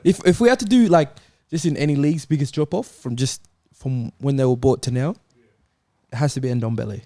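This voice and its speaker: A man's voice: mid-range voice, fairly quick, dark tone, no echo, clean studio sound. A man on a panel, chatting casually about football with his mates.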